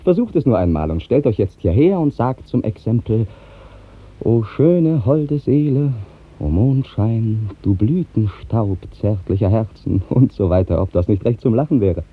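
Hearty teasing singer man in a 50s german radio play, super lively pitch, thin muffled old sound. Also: a little slow, husky. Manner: exuberant, declamatory, mocking, emphatic. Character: Fortunato, hearty, teasing, cheerful singer and horseman. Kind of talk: 1950s German radio drama acting. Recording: muffled, tinny 1950s radio recording.

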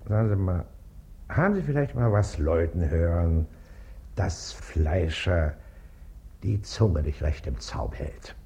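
An actor playing a pompous, self-important baron and district official in a radio comedy, officious and condescending. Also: male voice, deep voice, lively intonation, a little slow, mellow. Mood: conspiratorial, self-important. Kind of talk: stage comedy acting in radio play.

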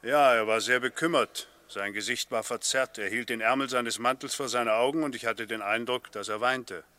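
A man's voice: mid-range voice, moderate pace, bright, forceful tone, no echo, natural voice. A man acting a dutiful, unshakeable police constable giving evidence in a 1960s German radio drama, clipped, deferential and factual. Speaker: actor in a radio play.

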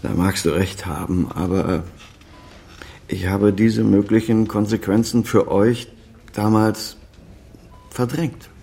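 A man's voice: fairly low pitch, fairly even intonation, slow pace, vocal fry, pauses often, mellow. An actor playing a proud senior doctor and estranged father, over fifty, speaking formal, articulate in a radio drama. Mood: defensive, earnest. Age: middle-aged or older, over fifty. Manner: formal, articulate, self-justifying. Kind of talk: German radio drama dialogue.

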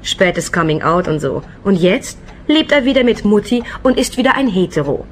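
A woman's voice: mid-range voice, lively intonation, normal speed, a little hiss or hum, lightly acted. An actress playing a brazen, mercenary call girl in a radio play, cool, cheeky and matter-of-fact. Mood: scornful.

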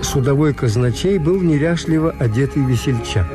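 An actor playing a detached, wry English hotelier, narrating in a measured literary manner in a Soviet radio drama. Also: male voice, medium pitch, expressive, lightly acted. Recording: old radio play with music behind.

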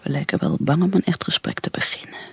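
An actress playing a bitter, driven middle-aged career woman who drinks, in a radio play, with a breathy, halting, brooding delivery. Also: low-pitched, sing-song, whispered, exaggerated voice. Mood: weary, bitter.

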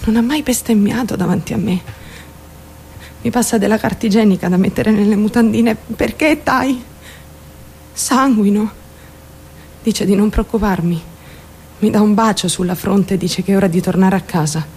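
An actress playing a naive, lovestruck and traumatized young woman in an intimate, halting radio drama monologue. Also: mid-range voice, darkish tone, slight background noise, natural voice. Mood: numb, fragile. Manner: intimate, halting, childlike self-questioning. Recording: music behind the voice. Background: music.

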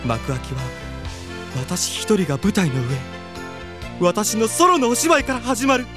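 A male actor playing a flamboyant effeminate man in an audio drama, with feminine phrasing and dramatic, drawn-out delivery. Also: high-pitched, huge pitch swings, over music, full character voice. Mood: dramatic reminiscing. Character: flamboyant, theatrical effeminate man reminiscing about youthful stage dreams. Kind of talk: Japanese audio drama acting.

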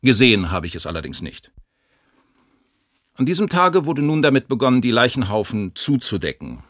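An actor playing a wartime perpetrator who gives formal, factual eyewitness testimony in a documentary radio drama. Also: male voice, medium pitch, sing-song, normal speed, fairly bright tone, no echo. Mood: formal, detached.

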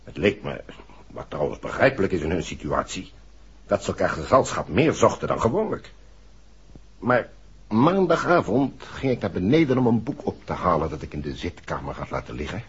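An earnest, uneasy man confiding in a 1960s radio play. His deep voice is markedly husky and rises and falls in lively fashion. The old recording sounds dull. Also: normal speed, full character voice. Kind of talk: 1960s Dutch radio drama acting.

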